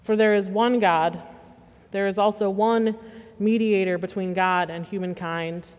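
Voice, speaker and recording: A woman from Western Pennsylvania reading scripture and preaching a sermon in a measured, plainspoken way with gentle humor. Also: mid-range voice, fairly even intonation, clear, resonant tone. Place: church sanctuary. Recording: long reverb of a church sanctuary.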